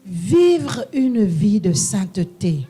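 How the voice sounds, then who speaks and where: A man's voice: high-pitched, big pitch swings, drawn-out words, warm tone, slightly rough. A man interpreting a sermon live in a church, echoing each phrase right after the preacher in French or English.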